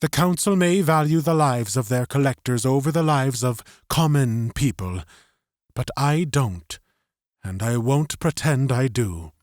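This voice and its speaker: A man acts out a resolute idealist in an audiobook story. His delivery is slow, earnest and halting, with a lot of movement in the pitch. The recording is very dry and close. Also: animated, a little mellow, dry recording, close to an everyday voice. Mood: firm. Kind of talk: audiobook character acting.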